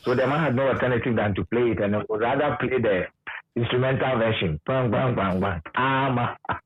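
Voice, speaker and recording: A man's voice: medium pitch, brisk. A Ghanaian man, a radio presenter, reminiscing in conversation and repeating his points for emphasis. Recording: muffled, narrow phone-like line.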